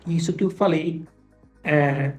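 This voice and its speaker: A language teacher talking casually to viewers, often tagging sentences with a quick question and restarting phrases. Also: mid-range voice, faint music behind. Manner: conversational, frequent tag questions and restarts. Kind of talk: casual teaching monologue to camera.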